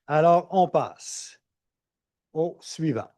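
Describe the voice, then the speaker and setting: Un homme anime une journée scientifique et présente les intervenants sur un ton formel et cérémonieux. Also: male voice, on the high side, expressive, extremely slow, a little mellow. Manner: formal, ceremonious introductions. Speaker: event emcee. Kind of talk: formal event hosting. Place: conference hall.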